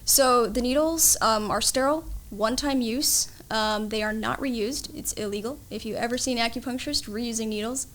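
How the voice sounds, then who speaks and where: A woman's voice: a little high, normal speed, few pauses, a bit bright. A woman explaining her field as a guest expert in an interview, in an instructive, matter-of-fact way.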